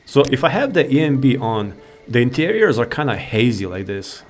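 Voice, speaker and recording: Casual man commentating on gameplay over soft music. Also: medium pitch, sing-song, a little fast. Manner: rambling, laid-back, thinking aloud. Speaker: gaming video creator.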